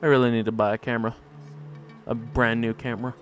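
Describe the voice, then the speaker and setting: A man's voice: mid-range voice, flat delivery, fast, faint music behind. A man live-streaming a game with casual, rambling commentary, reacting playfully and repeating phrases. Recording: clear background noise on home stream.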